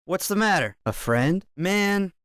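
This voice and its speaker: A cocky, brooding young male fighter from a fighting game. His short lines are delivered slowly and dramatically, with big swings in pitch. The recording is close and dry. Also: animated, a bit bright, no room sound. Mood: cocky, nonchalant. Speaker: video game voice actor. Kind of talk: fighting game character voice clips. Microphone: close dry studio recording.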